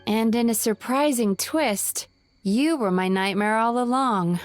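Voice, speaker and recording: Gentle female scholar for a game played by a woman, high-pitched, leisurely, modest, over music. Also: measured pace, close to an everyday voice. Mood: wry. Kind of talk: scripted video game voice acting. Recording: music behind the voice.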